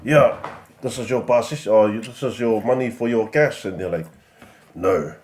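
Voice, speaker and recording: A man's voice: mid-range voice, moderate pace, darkish tone, slight background noise. A young man, a rapper guest, chatting casually and laughing as he acts out relatives' voices. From Pacific Islands. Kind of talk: casual chat.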